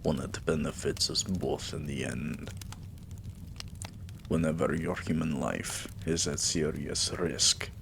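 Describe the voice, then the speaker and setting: A devoted vampire king, played by a man murmuring to his beloved in an intimate ASMR roleplay. The voice is very low, slow and gravelly, with breathy affection in every phrase. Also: fairly even intonation, background noise, heavily acted. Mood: loving, solemn. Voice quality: breathy, often slipping into whisper. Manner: hushed, slow, soothing, affectionate.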